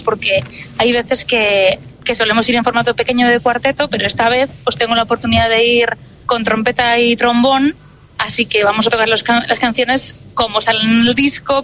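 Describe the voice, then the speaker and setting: A Basque-native woman, a jazz singer, answering interview questions in a relaxed, thoughtful way with many filler words. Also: on the high side, slight background noise, low-quality recording.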